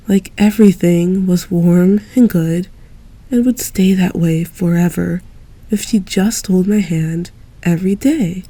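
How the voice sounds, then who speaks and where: A woman voicing a grown former knight who mentors a younger friend, gentle and reflective, in a fan audio drama. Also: on the low side, husky, exaggerated voice. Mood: tender. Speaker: voice actor.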